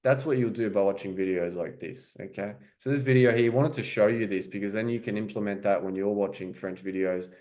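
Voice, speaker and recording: Male language coach, casual explainer, slightly low voice. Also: fairly quick, hardly any pauses, a little mellow. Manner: explanatory, repeats "right?" and "okay?". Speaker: French coach. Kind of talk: casual teaching commentary.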